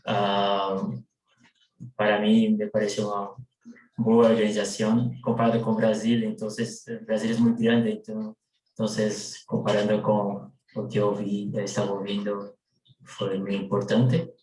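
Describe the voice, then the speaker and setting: A man with a Brazilian Portuguese accent, a native Portuguese speaker reporting back for his working group in a video meeting, hesitant with many drawn-out fillers. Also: lowish voice, completely flat delivery, darkish tone, reverberant, poor audio.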